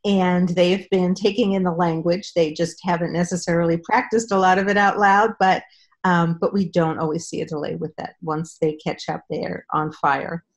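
A middle-aged woman speaking as a guest expert on a video call from a room at home, explaining in a measured way with frequent ums. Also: lowish voice, level tone. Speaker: speech-language pathologist guest.

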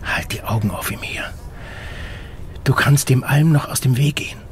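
A man's voice: normal speed, faint music behind, close to an everyday voice. A man playing a grave, protective middle-aged guardian in an audio drama, terse and controlled. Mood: earnest, urging. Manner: terse, controlled, low-key. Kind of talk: naturalistic audio drama acting.

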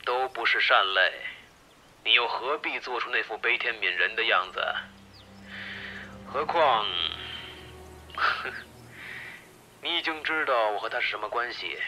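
A man's voice: medium pitch, lively intonation, a little slow, pauses often, bright, forceful tone, slight background noise. A man playing a cold, ruthless gang boss in an audio drama, dismissive, unflappable and coolly sardonic. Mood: cold indifference.

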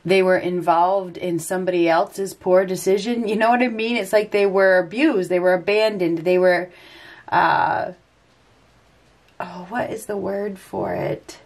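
A woman's voice: fairly low pitch, steady tone, a little slow, a little mellow. A woman with a head cold and stuffy nose, giving an unscripted tarot reading and thinking aloud as she turns the cards. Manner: rambling, hesitant, thinking aloud. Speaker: tarot reader. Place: indoors at a table.

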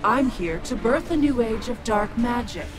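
Sinister mocking witch for a fighting game female actor; lively intonation over loud music. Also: a little high, normal speed, lightly acted. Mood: menacing, mocking. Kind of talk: video game voice acting.

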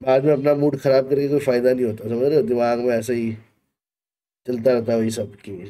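A man's voice: medium pitch, flat delivery, a little fast, dark tone. A man in his thirties with an Indian accent, chatting casually with viewers as a gaming live streamer, in an informal manner. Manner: informal, code-switches between Hindi and English. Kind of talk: casual livestream chat, reading and answering viewers. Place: small gaming room indoors.

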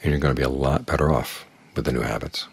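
An older man teaching as a Buddhist monk, his voice very low and strongly husky. He speaks calmly and plainly, and the recording is close and dry. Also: quick pace, no echo. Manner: calm, plain-spoken, uses homely images. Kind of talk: evening dharma talk.

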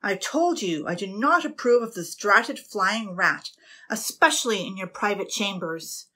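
A woman's voice: medium pitch, expressive, slow, sharp tone, heavily acted. A woman voicing a jealous, pompous male ship captain who blusters indignantly.

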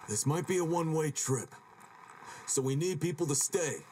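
A man's voice: on the high side, lively intonation, normal speed, darkish tone, close to an everyday voice. A man playing a resolute, grave squad leader in a video game audio drama, speaking in a firm, clipped, decisive way. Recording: faint noise on audio log.

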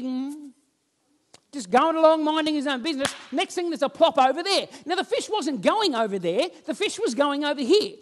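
A man, preaching a sermon on a church stage and telling stories with lively gestures while he walks about. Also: very high-pitched. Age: 40s. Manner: animated storytelling, roams the stage gesturing. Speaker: pastor. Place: church stage with band instruments, indoors.

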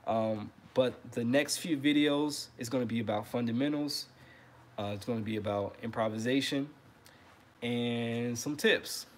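A man in his thirties hosting a casual trumpet livestream from his living room, relaxed and friendly, often repeating words as he talks. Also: mid-range voice, measured pace, slight background noise. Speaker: trumpet teacher and livestream host.

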